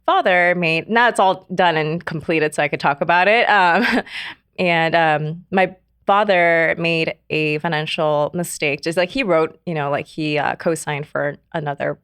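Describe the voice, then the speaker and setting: A low-pitched woman in her thirties, originally from South Korea, shares her personal story as a podcast guest. She talks softly and hesitantly, full of fillers and little laughs. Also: smooth, no echo, clean studio sound. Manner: soft-spoken, many fillers and trailing pauses, laughs often. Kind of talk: casual interview, personal story.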